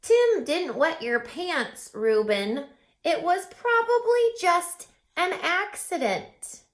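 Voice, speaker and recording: A woman voicing a patient animal friend who calmly and gently sets things straight. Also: very high voice, slow, pitched above the performer's own voice, heavily acted. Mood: calming. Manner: calm, gently correcting. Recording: roomy echo.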